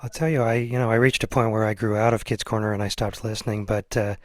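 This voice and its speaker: A man's voice: on the low side, flat delivery, fast, no room sound, studio quality. A man interviewing someone in a casual, conversational way.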